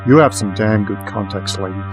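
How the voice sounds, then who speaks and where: A man acts as a streetwise private detective in a radio drama. He is dry and laconic, with a slightly low voice and plain colloquial phrasing. Guitar music plays clearly behind him. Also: fairly quick, close to an everyday voice. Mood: dry, probing. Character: wry, streetwise male private investigator narrating his case. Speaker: actor in radio play. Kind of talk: radio play dialogue and noir detective narration. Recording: music under the voice.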